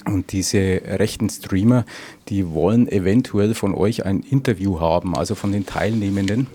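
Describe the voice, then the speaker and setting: A man's voice: low-pitched, normal speed, warm tone. A man from an event team giving an informal spoken briefing. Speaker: parade demonstration team member.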